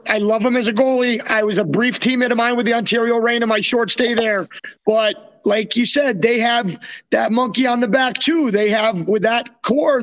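A man's voice: very high-pitched, a bit bright. A young man co-hosting a podcast as a former goalie, chatting and telling slangy anecdotes on a dull, lo-fi recording. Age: young adult. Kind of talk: casual chat, anecdotes.

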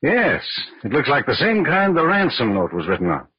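An actor playing a brisk, authoritative FBI agent in a 1940s radio crime drama, with a husky voice. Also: male voice, medium pitch, huge pitch swings. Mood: intent, deducing. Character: businesslike, decisive male FBI special agent. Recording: muffled old-time radio recording.

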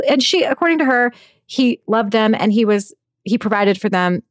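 A woman, a magazine editor, talking in an animated, chatty way as a guest in a news interview. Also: on the high side, no echo, clean studio sound. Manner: animated, chatty, frequent "like" and "I mean".

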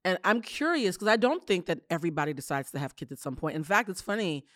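A woman with a big voice chatting on a podcast, quick and lively. Her pitch swings widely as she talks and laughs. The sound is close and dry. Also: no room sound. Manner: animated, laughs often, talks fast. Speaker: podcast host. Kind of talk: casual chat.

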